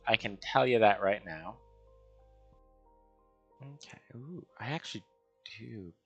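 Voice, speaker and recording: A man with a gravelly voice giving casual gaming commentary as he builds, musing and hesitating as he talks to himself. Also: lowish voice, lively intonation, slow, fairly bright tone, dry recording, soft background music. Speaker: gaming video host. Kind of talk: casual Minecraft building commentary, thinking aloud.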